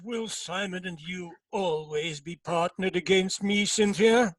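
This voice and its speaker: A man's voice: high-pitched, measured pace, no echo, clean studio sound. A man playing a jealous army Major in a stage play reading, clipped and suspicious.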